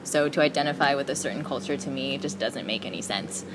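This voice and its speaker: A woman's voice: extremely low pitch, quick pace, over music. A young woman university student talking reflectively in an interview, saying like often. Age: young adult. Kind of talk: interview answer.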